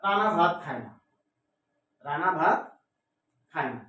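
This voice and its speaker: High-pitched male teacher in echoey room. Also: expressive, unhurried, pushed and bright. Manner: didactic, asks and answers own questions, repeats key words. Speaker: English grammar teacher. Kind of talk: step-by-step classroom lesson. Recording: very echoey room, distant microphone.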